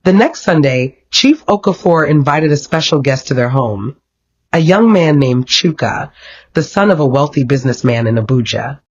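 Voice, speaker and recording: A storyteller narrates a romantic folktale from a script. The delivery is dramatic and expressive at a middling pitch. The recording sounds dull and lo-fi.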